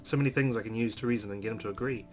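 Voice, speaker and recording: A man's voice: lowish voice, rapid-fire. A man hosting a let's play, reading visual novel narration aloud expressively with casual asides. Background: game music.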